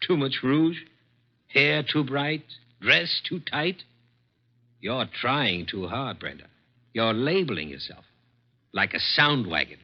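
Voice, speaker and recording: A man's voice: mid-range voice, expressive, takes it slowly, pauses often. An actor playing a cruel, contemptuous executive in his thirties in a vintage radio drama, sneering and domineering. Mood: sneering contempt. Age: 30s. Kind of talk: 1940s-50s radio drama acting. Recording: dull vintage radio recording.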